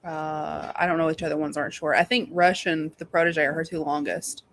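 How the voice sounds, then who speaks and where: A woman's voice: deep voice, little pitch movement, brisk, fairly bright tone, slight background noise. A woman co-hosting a live readalong show, chatting about books in an informal, slangy, emphatic way. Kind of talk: casual book chat.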